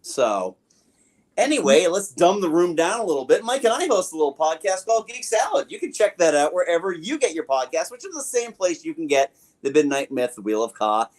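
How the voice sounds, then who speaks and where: A middle-aged man hosts a casual podcast. His voice sits fairly high for a man, and he talks in a loose, joking, informal way. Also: sing-song, brisk. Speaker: podcast host.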